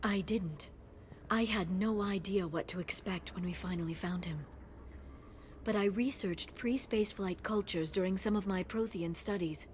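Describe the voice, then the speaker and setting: A woman playing a gentle, scholarly alien information broker in a video game, speaking softly and earnestly. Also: medium pitch, moderate pace, slight background noise, close to an everyday voice. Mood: scholarly, thoughtful.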